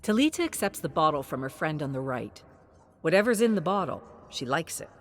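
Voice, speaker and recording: A woman reading scripted story narration in an expressive, dramatic storytelling manner. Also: sits low, fairly quick.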